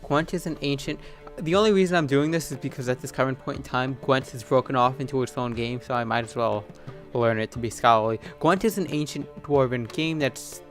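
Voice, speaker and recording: A man's voice: medium pitch, a bit bright. Man doing casual gameplay commentary, music behind. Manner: reads on-screen text aloud. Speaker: video game player and commentator.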